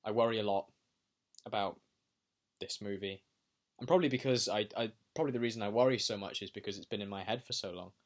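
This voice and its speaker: Low-voiced young man, pensive and hesitant. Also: fast. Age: young adult. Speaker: vlogger and amateur filmmaker. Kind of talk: reflective personal video diary.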